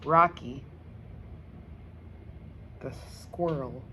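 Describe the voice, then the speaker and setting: A woman storyteller narrating a children's book aloud in an expressive style. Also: very deep voice, very slow. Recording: faint background noise.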